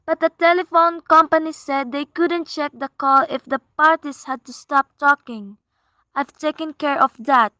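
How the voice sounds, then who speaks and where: A woman's voice: sits high, steady tone, moderate pace, pushed and bright, no room sound. A woman playing an anxious, demanding bedridden wife on the telephone to police in a radio play, fretful and insistent. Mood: indignant, insistent.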